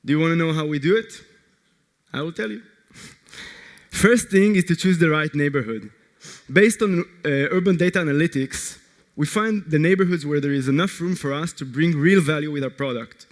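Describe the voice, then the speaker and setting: A man from Israel, a startup co-founder, giving an earnest, energetic conference pitch that puts direct questions to the audience. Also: normal speed. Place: large conference hall. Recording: long hall reverb.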